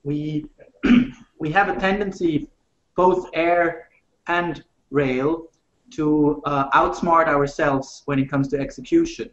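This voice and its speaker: Man, muffled roomy call. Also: fairly high pitch. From Switzerland. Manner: measured, halting with frequent uh fillers and restarts. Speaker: rail industry panelist. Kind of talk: panel discussion over video call. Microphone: video call.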